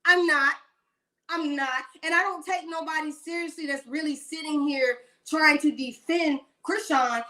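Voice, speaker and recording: A woman's voice: sits high, a bit bright. A woman in her late thirties giving blunt, sassy celebrity gossip commentary in a rambling rant. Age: 30s.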